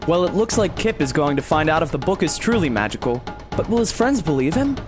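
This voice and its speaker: A woman's voice: deep voice, big pitch swings, fairly quick, over music. A woman reading dramatic scripted narration as the narrator of an audio drama episode.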